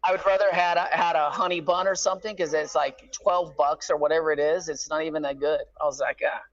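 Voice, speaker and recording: A man's voice: on the high side, fairly even intonation, fairly quick, no room sound. A middle-aged man talking casually as a guest on a phone call, explaining things conversationally and often checking in with "right?". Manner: conversational, explanatory, peppers talk with "right?" and "you know". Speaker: guest calling from prison. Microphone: phone line. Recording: slightly dull, lo-fi phone call.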